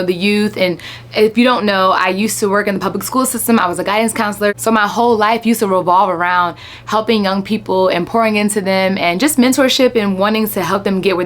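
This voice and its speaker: A young adult woman talking casually to her viewers as a travel vlogger, chatty and familiar. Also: mid-range voice. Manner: chatty and warm, addresses viewers as y'all. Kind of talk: casual talk to camera. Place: Texas home setting.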